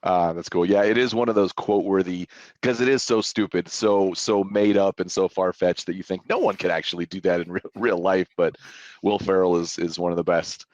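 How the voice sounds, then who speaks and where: A man's voice: deep voice, fairly even intonation, a little fast, a little mellow, no echo. A man hosting an interview show, upbeat and chatty. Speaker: podcast host. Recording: dry, dull lo-fi audio.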